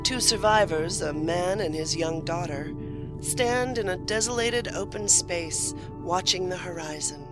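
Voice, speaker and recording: Female drama narrator over loud music. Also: lowish voice. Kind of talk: scripted audio drama narration and credits. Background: prominent music.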